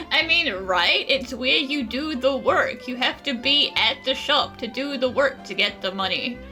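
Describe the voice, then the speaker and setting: A woman streamer reacts casually to a game in a bright, highish, lively voice. She is blunt and teasing, with music playing underneath. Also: a little slow. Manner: teasing, blunt, laughs readily.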